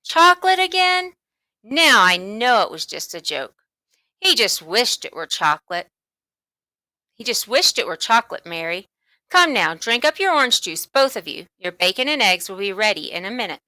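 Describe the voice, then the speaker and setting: A woman's voice: mid-range voice, sing-song, moderate pace, bright, forceful tone, no echo. A woman voicing a mild, fussing, placating mother of two in a children's storybook. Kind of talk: children's storybook read-aloud.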